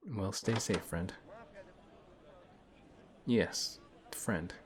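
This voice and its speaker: A man playing a big, principled, gently protective paladin in a fantasy audio roleplay, speaking in a measured, earnest way with hesitant pauses and a husky voice. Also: fairly low pitch, noisy background. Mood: sincere, gentle. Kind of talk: fantasy audio roleplay, one-sided intimate conversation.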